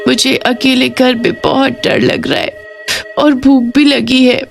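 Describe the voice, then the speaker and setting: A woman's voice: high voice, flat delivery, slow pace, vocal fry, soft background music, voice pushed up, heavily acted. A woman voicing a timid, sweet little village girl with a childlike, pleading manner in a cartoon story. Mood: frightened.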